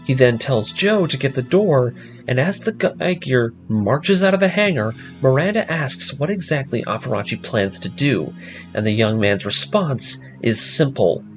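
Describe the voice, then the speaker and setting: A man delivers scripted story narration with a middle-pitched voice and lively, varied intonation. He recounts the plot as a narrator would, with faint music running underneath. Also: unhurried. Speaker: narrator of an anime story breakdown series.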